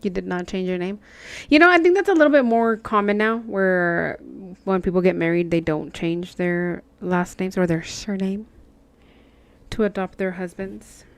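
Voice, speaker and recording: A woman's voice: mid-range voice, moderate pace, clean tone, dry recording. A Mexican American woman whose first language is Spanish, chatting casually with her live chat while she stitches and laughing often. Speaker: cross-stitch livestream host.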